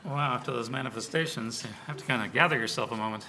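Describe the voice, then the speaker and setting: A man's voice: medium pitch, quick pace, noisy background. A man preaching to a congregation in an informal, conversational way, telling personal stories and acting out the dialogue. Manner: conversational, animated storyteller, acts out dialogue. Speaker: preacher, overseer of church outreach. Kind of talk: sermon with informal storytelling to a congregation.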